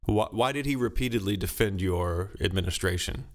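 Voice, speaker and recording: A man's voice: low voice, fairly quick. A man hosting a mock news interview, formal, polite and persistent in his questioning. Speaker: host and interviewer.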